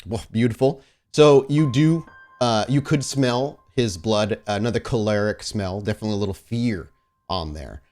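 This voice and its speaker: A man's voice: fairly low pitch, normal speed, darkish tone. A man acting as storyteller for a tabletop roleplaying game, narrating in a descriptive, cinematic way. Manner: descriptive, cinematic scene-setting.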